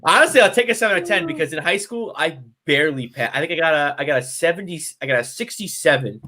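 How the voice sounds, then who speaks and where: A man's voice: a little high, animated, fast talker, bright, forceful tone. A young man co-hosting a casual chat show, joking and rambling with lots of laughter. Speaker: podcast co-host.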